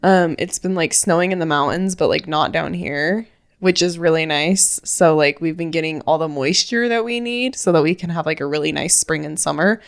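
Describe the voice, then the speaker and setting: Young woman, podcast co-host, lowish voice, casual rambling chat. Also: moderate pace, gravelly. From Utah. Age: 20s. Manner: chatty, many "like" fillers, quotes her own thoughts.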